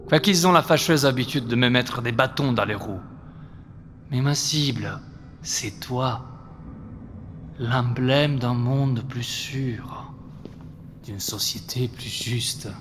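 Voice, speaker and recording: A man playing a menacing terrorist in a French audio drama, taunting his enemy in a deliberate, theatrical, mocking manner. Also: mid-range voice, fairly bright tone. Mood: grandiose menace. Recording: reverberant hall, faint background noise.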